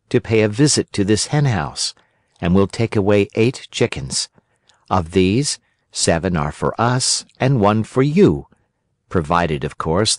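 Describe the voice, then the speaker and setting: A man doing a sly smooth-talking weasel. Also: low-pitched, dry recording, clean studio sound. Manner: smooth, coaxing and scheming.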